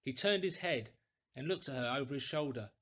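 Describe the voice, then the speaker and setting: A man reading a classic story aloud in a deliberate, theatrical, clearly articulated way. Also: medium pitch, lively intonation, a bit bright. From England. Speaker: audiobook reader, actor. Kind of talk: dramatic scripted storytelling.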